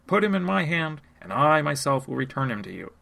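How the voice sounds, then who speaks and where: A man's voice: sing-song, fairly quick, creaky, pushed and bright, full character voice. Eldest brother going "told you so", man reading, voice jumps way up. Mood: reproachful. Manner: raised, insistent pitch. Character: reproachful eldest brother pleading.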